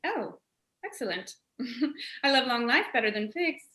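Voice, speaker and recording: A woman's voice: on the high side, lively intonation, measured pace, sharp tone. A woman playing a pert female royal attendant in a Shakespearean radio play, recorded in an echoey room. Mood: playful. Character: Charmian, lively female attendant to a queen.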